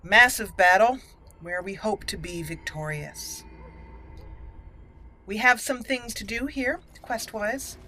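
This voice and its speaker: A woman hosting a roleplay game playthrough, deliberately narrating her actions aloud. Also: mid-range voice, expressive, pushed and bright, slight background noise. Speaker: gaming channel host. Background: faint game music.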